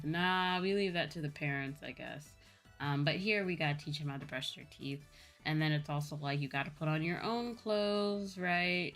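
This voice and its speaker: Woman streamer, notably low voice, casual conversational explainer who circles back on her points, music playing underneath. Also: fairly bright tone. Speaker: streamer, VTuber host chatting with viewers. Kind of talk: casual livestream chat. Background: background music.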